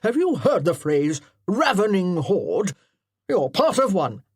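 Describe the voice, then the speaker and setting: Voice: medium pitch, sing-song, takes it slowly, slightly rough, no room sound, clean studio sound, full character voice. Narrator barking as a commanding old colonel. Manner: loud barked orders. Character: commanding older male colonel, drill-sergeant bark.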